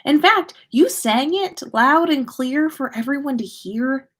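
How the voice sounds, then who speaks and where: A woman's voice: sits high, expressive, measured pace, voice pushed up. Storybook role: teenage boy wizard, straightforward, supportive friend, voiced by a female reader. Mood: matter-of-fact.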